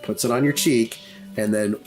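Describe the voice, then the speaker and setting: A game master narrating an improvised tabletop game in an informal, halting, jokey way, with music behind the voice. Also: mid-range voice, poor audio. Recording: background music under voice.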